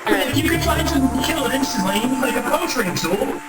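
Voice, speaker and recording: A woman voicing a busy, excitable nonhuman caretaker with formal, precise, dramatic wording in a game dub. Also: sits high, quick pace. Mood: excited, helpful. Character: busy, fussy, excitable nonhuman caretaker entity of a memory space. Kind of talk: game fan dub character acting. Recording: echoey, distant, loud music behind.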